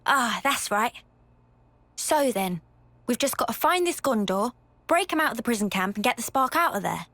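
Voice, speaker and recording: A woman's voice: a little high, very animated, normal speed, a bit bright, no room sound, exaggerated voice. An actress voicing a brash, casual young female soldier in a video game cutscene. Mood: casual, musing.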